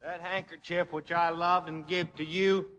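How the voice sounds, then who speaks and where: An actor playing a jealous Texas cowhand bungling Othello, reciting haltingly in a folksy drawl in a comic radio play. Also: male voice, a little high, level tone, a bit bright, long reverb tail, muffled recording, natural voice. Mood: stern, halting. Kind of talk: comic old-time radio acting.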